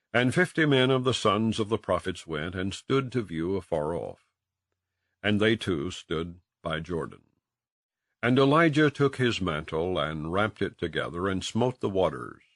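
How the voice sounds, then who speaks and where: A man narrating scripture in a solemn, measured and declamatory way, like a scripted audiobook reading. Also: low-pitched, no echo, studio quality. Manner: measured, declamatory, with archaic phrasing.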